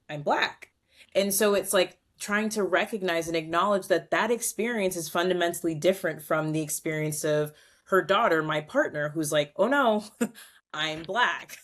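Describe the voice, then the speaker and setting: Woman, podcast guest, lower voice, animated, chatty, quotes others in acted voices. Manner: animated, acts out quoted voices, many "like" fillers; reflective, long-winded explanations. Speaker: guest, culture strategist. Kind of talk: conversational podcast interview.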